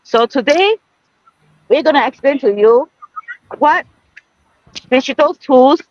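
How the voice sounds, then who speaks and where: A woman from Singapore hosts a live stream in a chatty, laughing way, full of fillers and restarts. Her voice sits high. The sound is dry and close. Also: expressive, slow, no echo, dull audio. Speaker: host of a group live stream. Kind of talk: casual live-stream chat. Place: out in a busy shopping mall.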